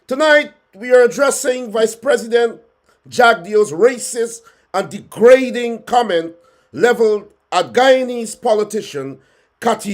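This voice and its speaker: A man hosting a studio talk show, formal in tone, often repeating phrases and restarting with fillers. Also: high voice.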